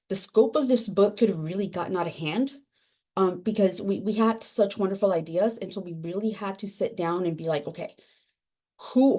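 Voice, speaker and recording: A woman's voice: medium pitch, mellow. A woman whose first language is Spanish, an editor speaking earnestly on a panel over a video call, with many fillers and restarts. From Spanish-speaking country. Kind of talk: panel discussion at a virtual book launch.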